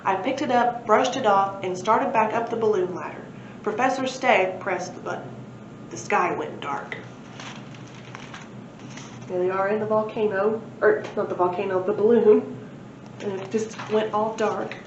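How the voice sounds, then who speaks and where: Woman storyteller, expressive read-aloud, medium pitch, roomy recording, faint background noise. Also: measured pace, long pauses, highs cut off. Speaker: library read-aloud presenter. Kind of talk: children's story read-aloud with casual asides.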